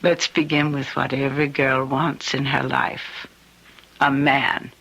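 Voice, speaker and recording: A woman playing a frail, elderly dying grandmother in a radio drama, tender and wistful, with a halting, breathy rasp. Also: rumbling low, little pitch movement, full character voice. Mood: wistful, coaxing. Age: older. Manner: halting, gentle, affectionate.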